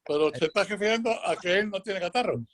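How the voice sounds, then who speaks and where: A man with a Puerto Rican accent and a notably high voice asks a question on a video call. He works his point out slowly and carefully, leaning on little fillers as he thinks. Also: a bit bright, a little hiss or hum. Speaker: club member asking a question.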